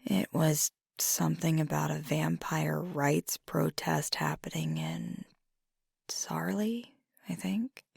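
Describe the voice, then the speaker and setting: A woman acts out a fiery but tender werewolf girlfriend in an intimate ASMR roleplay. Her voice is low and heavily creaky, often slipping into a breathy near-whisper. She talks slowly and casually to her partner, pausing as she thinks, with an affectionate, teasing streak. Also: steady tone, a little mellow, dry recording, studio quality, heavily acted. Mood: thoughtful, wry. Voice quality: breathy, husky, gravelly fry. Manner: casual, unhurried, hesitant pauses. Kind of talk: ASMR roleplay, soft intimate half-whispered talk to listener.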